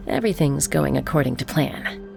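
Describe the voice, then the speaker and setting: An actress playing a calm, reassuring companion in a game, with a very creaky voice. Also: female voice, rumbling low, a little fast, heavily acted, poor audio. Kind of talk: scripted game dialogue. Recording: music behind the voice.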